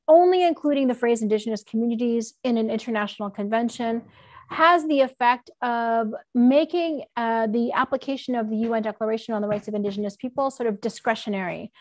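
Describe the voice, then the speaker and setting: Higher-voiced woman, informal and frank. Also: dry recording. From Alberta, Canada. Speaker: keynote speaker, law professor. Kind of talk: conversational academic talk. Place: indoor room at home, on a video call. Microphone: computer mic on a video call.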